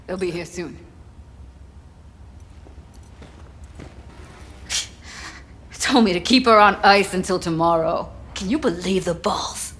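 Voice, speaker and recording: Low-voiced, blunt young woman, played by an actress. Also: a bit bright, a little hiss or hum, close to an everyday voice. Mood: bitter. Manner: blunt, clipped, swearing, halting phrases. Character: grieving, foul-mouthed, defiant young techie woman. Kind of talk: cinematic video game acting. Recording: music behind dialogue.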